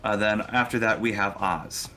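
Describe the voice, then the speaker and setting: A man's voice: on the low side, steady tone, moderate pace, sharp tone. A man narrating a tabletop game as game master, improvising and talking players through rules and turns.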